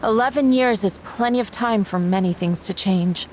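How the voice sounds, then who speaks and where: Female game companion, played by an actress: reflective, composed, medium pitch, faint noise in the background. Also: normal speed, darkish tone, close to an everyday voice. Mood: reflective, regretful. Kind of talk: dramatic video game voice acting.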